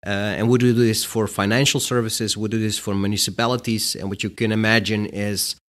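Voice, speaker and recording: A man's voice: fairly low pitch, flat delivery, fairly quick, a bit bright. A middle-aged man from Bosnia, a guest answering interview questions in a casual, hesitant way with many fillers. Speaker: guest, company executive.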